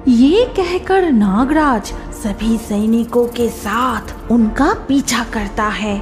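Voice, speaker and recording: A woman's voice: fairly high pitch, takes it slowly, music in the background. A woman narrating a children's cartoon story from a script.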